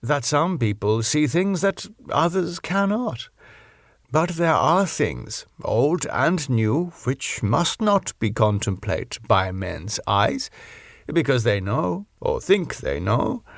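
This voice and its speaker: A man voicing an older professor in an audiobook, earnest and philosophical, putting questions in halting, foreign-sounding English. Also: mid-range voice, very animated, creaky, dry recording, studio quality, exaggerated voice. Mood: earnest, chiding. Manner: rhetorical questioning, halting non-native English phrasing. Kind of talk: audiobook character acting.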